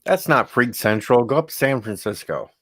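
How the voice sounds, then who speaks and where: A man's voice: medium pitch, lively intonation, normal speed, fairly bright tone, no echo. A man chatting casually, dropping dry wisecracks. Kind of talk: casual chat.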